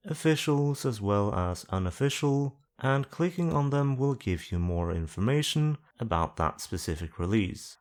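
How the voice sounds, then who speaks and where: A man narrating an explanatory tutorial in a plain-spoken, dry, self-deprecating way. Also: on the low side. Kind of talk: explanatory screen-guide narration with casual self-deprecating asides.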